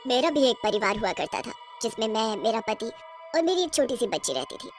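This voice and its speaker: A high-pitched, vengeful cartoon witch, played by a woman, gloats and taunts in a horror tale. Her threats are drawn out and menacing, with soft music behind them. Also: big pitch swings, brisk. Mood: bitter, vengeful. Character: vengeful, gloating female witch who runs a factory. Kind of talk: Hindi cartoon horror story acting.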